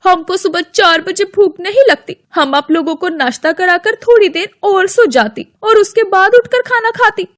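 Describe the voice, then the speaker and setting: Woman voicing a sleepy young bride in a cartoon, quaint broken Hindi, high pitched voice. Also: moderate pace, few pauses, a bit bright. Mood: tired. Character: young South Indian daughter-in-law, sleepy, earnest.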